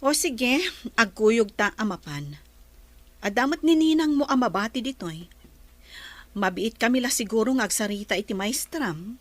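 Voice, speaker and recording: A woman playing an affectionate, gentle mother in an audio drama, speaking in a motherly, chuckling way. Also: fairly high pitch, expressive, normal speed, no room sound. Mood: fond. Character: affectionate, unhurried mother chatting about her child. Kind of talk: Filipino audio drama acting.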